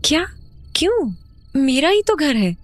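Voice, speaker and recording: A woman playing an uneasy young mother in a horror audio drama, expressive and questioning. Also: high voice, a little slow, dry recording, faint music behind. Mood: surprised, questioning. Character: uneasy young mother back in childhood home.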